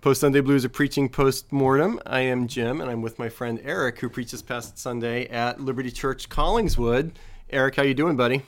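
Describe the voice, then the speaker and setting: A man's voice: mid-range voice, steady tone, normal speed. Upbeat male podcast host. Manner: friendly, upbeat, prompting questions. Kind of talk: casual podcast hosting.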